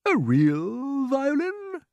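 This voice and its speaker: A man's voice: fairly high pitch, very animated, measured pace, darkish tone, no room sound, highs cut off, voice pushed up. A man voicing a genial, proud old green grasshopper musician from a storybook, avuncular and emphatic, drawing out his words. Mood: delighted, proud. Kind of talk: storybook character voice.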